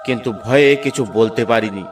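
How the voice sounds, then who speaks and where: Man acting an excitable, fearful village guide. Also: medium pitch, brisk, recorded from a distance, faint music behind, close to an everyday voice. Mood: alarmed. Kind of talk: cartoon voice acting.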